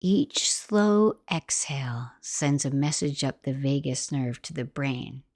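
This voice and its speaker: A woman guiding a meditation in a gentle, soothing, unhurried manner, like soft instructional narration. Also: very deep voice, expressive, no room sound, studio quality. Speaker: meditation guide.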